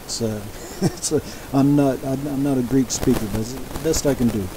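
Male Bible teacher, mid-pitched, measured and explanatory, recorded with a constant hiss behind him. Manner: measured, explanatory, with frequent restarts and asides. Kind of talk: sermon-style Bible lecture.

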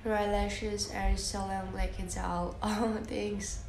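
A young woman from Russia with a Russian accent, chatting slowly and softly on a phone livestream from her bedroom and answering viewers' comments with lots of "like" and "uh". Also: mid-range voice, level tone, roomy sound, slight background noise. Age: young adult. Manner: soft and giggly, many fillers like "like" and "uh". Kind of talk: casual livestream chat, reading out comments. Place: bedroom, indoors. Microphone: phone held close, lying on a bed.

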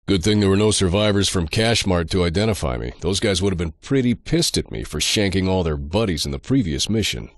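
A man playing a sardonic, foul-mouthed drifter antihero who narrates a video game in a deadpan, sarcastic way. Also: low-pitched, brisk, hardly any pauses, no room sound, clean studio sound. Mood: dry sarcasm.

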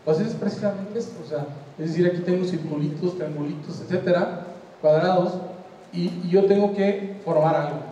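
A man's voice: sits high, normal speed, mellow, highs cut off, a little hiss or hum. An older man with a Mexican Spanish accent giving a conversational design lecture to an audience, often checking they follow. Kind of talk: conference lecture. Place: large hall with seated audience. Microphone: handheld mic. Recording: roomy hall echo from far off.

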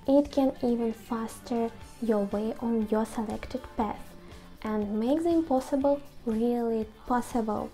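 A young woman with a fairly high, soft-edged, mellow voice presents advice to the camera. Her English has non-native phrasing, and light music plays behind her. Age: 20s. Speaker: YouTube host. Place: small quiet room at home.

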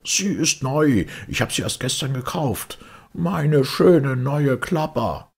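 A man's voice: mid-range voice, animated, takes it slowly, gravelly, slightly rough, pitched above the performer's own voice, exaggerated voice. A man voicing a hot-tempered, scornful storybook twin, blustering and indignant. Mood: furious.

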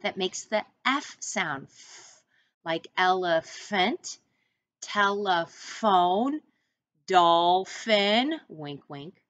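Expressive American woman teacher, lively pitch, unhurried. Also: dull audio. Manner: expressive, deliberate, instructing listeners directly. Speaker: first-grade teacher. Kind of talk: picture book read-aloud for young children with teaching asides. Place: small room indoors, seated at a desk.

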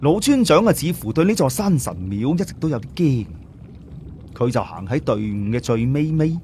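A man's voice: medium pitch, big pitch swings, normal speed, mellow, slight background noise. A man with a Cantonese accent narrating an audiobook story in a dramatic, scripted storytelling style.

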